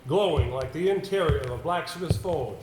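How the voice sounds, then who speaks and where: A man acting in an amateur stage play as a polite, doubtful senior solicitor, speaking in a halting, formal, measured way. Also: a little high, a little mellow, close to an everyday voice. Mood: narrating. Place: indoor theatre stage. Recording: echoey stage recording with background hiss.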